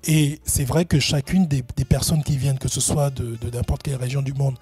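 Hesitant man with a dark, husky voice. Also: mid-range voice, steady tone, fairly quick, dry recording. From Benin, raised in Gabon. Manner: hesitant, many fillers and restarts. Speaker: guest, entrepreneurship consultant. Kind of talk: interview answer.

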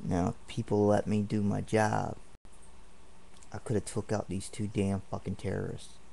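A man's voice: lowish voice, level tone, moderate pace, creaky, dark tone, a little hiss or hum. A man ranting indignantly and at length in a home video, repeating himself as he rambles. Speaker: vlogger. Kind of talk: angry home video rant. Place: home apartment.

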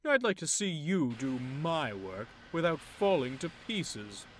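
A man voicing a pompous, boastful male tank engine who talks down to everyone, loud and grandiose. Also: mid-range voice, big pitch swings, slow, slight background noise, pitched above the performer's own voice.

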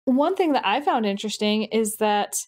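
A woman's voice: fairly high pitch, expressive, moderate pace. A woman co-hosting a podcast in casual chat. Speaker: podcast host.

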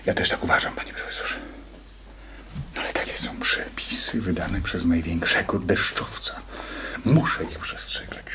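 An actor playing a weary official bound by rules, confiding in hushed tones in a radio play. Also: male voice, low voice, moderate pace, whispered, close to an everyday voice. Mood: confiding, resigned. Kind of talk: radio drama acting.

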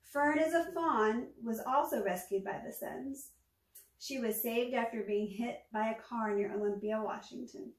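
A woman reads a picture book to young children in a high voice. She takes her time and tells it expressively. The sound is roomy, picked up at some distance from the microphone. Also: a little slow. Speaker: storytime reader, former teacher. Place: small furnished room at home, indoors. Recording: echoey room, distant microphone.

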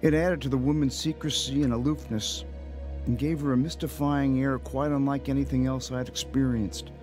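A man acts a grown man narrating his past in an audio drama. His mid-pitched voice is measured and confessional, over faint music. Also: fairly even intonation, darkish tone, natural voice. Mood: reflective. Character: reflective grown man recounting his dark youth. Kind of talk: scripted first-person radio drama narration.

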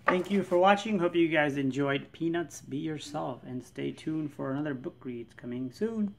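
A woman's voice: deep voice, moderate pace, gravelly, a little mellow, a little hiss or hum. A woman hosting a read-aloud channel, speaking to her viewers. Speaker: channel host.

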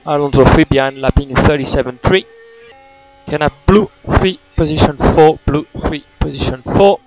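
A man working as a race engineer, giving terse, repeated instructions in a clipped manner over team radio. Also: mid-range voice. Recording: noisy motorsport team radio.

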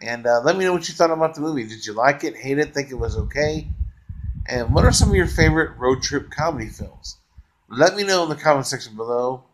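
A middle-aged man reviews a movie while lying back in his bedroom, speaking close into a webcam. His delivery is loose and unscripted, full of "uh"s and restarts, and turns gushing whenever he praises the film. His pitch sits in an ordinary male middle range. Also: a little fast, a little hiss or hum, poor audio. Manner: relaxed, rambling, frequent uh fillers, enthusiastic praise. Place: bedroom, lying on a couch or bed, indoors. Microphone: webcam close to the face.

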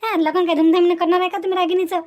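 A woman's voice: extremely high pitch, flat delivery, very fast. A woman voicing a spiteful, indignant young daughter-in-law in a cartoon family quarrel, scolding and repeating herself with rhetorical questions. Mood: scornful. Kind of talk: Marathi family cartoon dialogue.

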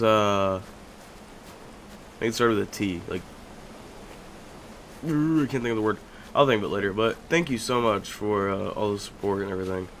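A young man casually commentating a video game as he plays, chatty and joking, with sudden loud outbursts. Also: on the low side, a little hiss or hum. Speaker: gaming YouTuber. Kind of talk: casual let's-play commentary.

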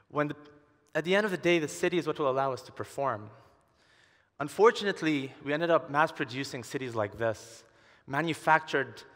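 Guy in his 20s doing a conference talk, voice a bit high, big echoey hall. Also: a bit bright. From Dubai. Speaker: conference speaker, entrepreneur and urban designer. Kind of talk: prepared stage talk. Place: large conference hall stage, indoors. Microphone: headset. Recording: large hall reverb from the stage.

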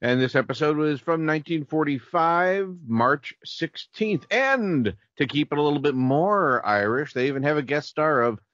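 A man's voice: on the high side, animated, moderate pace, hardly any pauses, a bit bright, dry recording, dull audio. A man co-hosting a podcast and presenting the show. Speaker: podcast co-host.

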